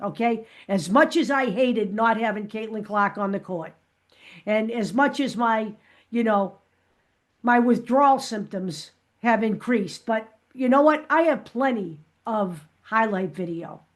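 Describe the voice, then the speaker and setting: A woman's voice: fairly high pitch, lively intonation, unhurried. A woman hosting a fan vlog, talking casually to viewers and making her points emphatically, often checking them with "okay?".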